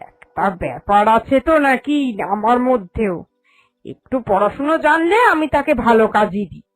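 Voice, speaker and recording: Woman voicing a haughty, high-voiced village moneylender matriarch. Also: very animated, normal speed. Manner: haughty, scolding, emphatic. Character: vain, greedy, bossy village moneylender matriarch. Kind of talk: Bengali cartoon fairy-tale voice acting. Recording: music under the voice.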